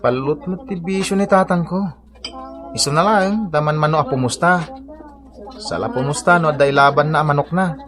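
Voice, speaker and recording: A man's voice: fairly high pitch, normal speed, a crowd in the background, lightly acted. An insecure young Ilocano boyfriend played by a man in a radio drama. He is earnest and a little hesitant, speaking in a conversational acted style.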